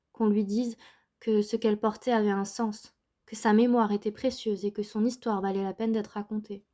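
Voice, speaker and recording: A woman reading her own book aloud in a measured, intimate first-person narration. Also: on the high side, mellow. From Vietnam, raised in France. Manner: measured, intimate, slows on key lines.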